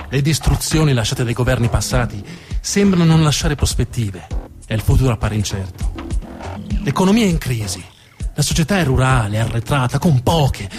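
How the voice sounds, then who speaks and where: A man performing a narrative theatre monologue, emphatic and theatrical, repeating words for effect. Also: medium pitch. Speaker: storytelling stage actor.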